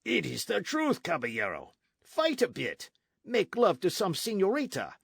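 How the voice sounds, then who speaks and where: A loud, hearty soldier sergeant, gruff and boastful, rallying a friend to action. A man puts him on for a storybook reading. The voice swings widely in pitch and sits in a dry, close sound. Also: wildly swooping pitch, measured pace, no room sound, full character voice. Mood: blustering.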